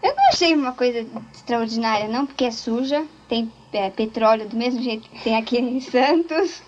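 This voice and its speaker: A woman's voice: on the high side, animated, a little fast, slight background noise. A woman talking casually in a group interview, telling stories with many restarts. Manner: chatty storyteller, frequent restarts. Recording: dull lo-fi tape recording.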